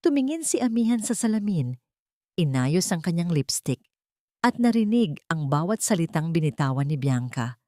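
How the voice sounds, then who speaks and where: A woman's voice: deep voice, mellow, dry recording, clean studio sound. A woman narrating a scripted dramatic story in an audiobook style.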